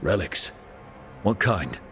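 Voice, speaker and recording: Earnest game hero, steady party leader, lowish voice, bit of music. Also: male voice, slow pace, exaggerated voice. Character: earnest, level-headed male adventurer leading a party. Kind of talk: video game voice acting.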